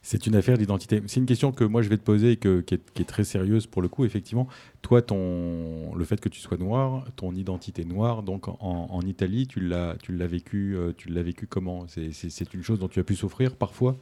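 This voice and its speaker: A man interviewing a guest, asking earnest questions and rephrasing them to be clear. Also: deep voice, brisk, mellow.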